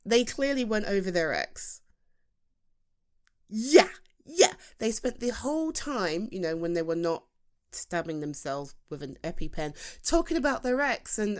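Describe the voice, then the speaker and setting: A woman's voice: mid-range voice, close to an everyday voice. A British woman playing a playful, chatty female best friend in a humorous, conversational ASMR roleplay. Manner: animated, conversational, teasing, with laughs and sighs.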